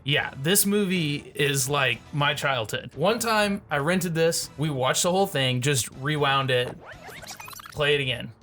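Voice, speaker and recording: Bright-voiced man chatting casually. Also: a little high, measured pace, soft background music. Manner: joking, anecdotal, frequent "like". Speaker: show co-host. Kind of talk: casual chat with friends.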